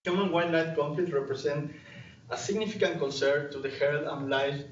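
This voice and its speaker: A man's voice: a little high, steady tone, moderate pace, slight background noise. A young man from Ecuador with a Latin American Spanish accent gives a conference presentation on his research, hesitant with frequent fillers and restarts. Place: lecture room. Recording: distant mic, roomy hall, dull sound.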